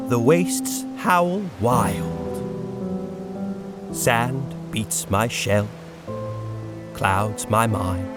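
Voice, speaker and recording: A man playing a solemn wandering bug warrior in a video game lore dub, speaking in a grave, poetic, halting way. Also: medium pitch.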